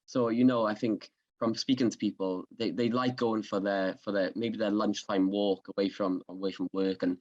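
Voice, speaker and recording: A man's voice: lowish voice, steady tone, moderate pace, hardly any pauses, darkish tone, lo-fi sound. A man with a Swansea Welsh accent, the podcast host, talking in an upbeat, chatty way during an interview. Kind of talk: conversational interview.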